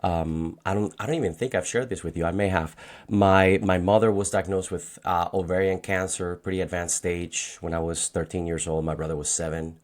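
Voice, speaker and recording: A man's voice: sits low, fairly even intonation, normal speed, hardly any pauses. A man around forty with a Colombian accent and Spanish as his first language, talking conversationally as a podcast guest. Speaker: podcast guest, financial agent. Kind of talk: conversational personal storytelling.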